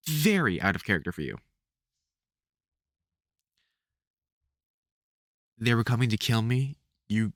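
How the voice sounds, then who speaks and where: A man with a British accent playing a caring veteran vampire hunter in an audio roleplay, halting and sweary, exasperated but gentle. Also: medium pitch, dry recording, clean studio sound. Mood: confused, probing. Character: gruff, caring veteran male vampire hunter, protective and worried. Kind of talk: audio roleplay, speaking to an unheard listener.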